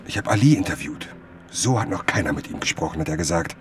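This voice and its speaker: A man's voice: deep voice, expressive, quick pace, noisy background. An actor playing an obsessive, suspicious and self-important male journalist in a German radio drama. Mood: boastful, reassuring.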